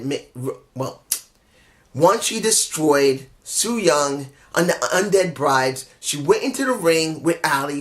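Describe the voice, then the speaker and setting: Hoarse man ranting a wrestling review. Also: a little high. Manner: candid, rambling, frustrated, frequent restarts. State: losing his voice. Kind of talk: casual opinionated review.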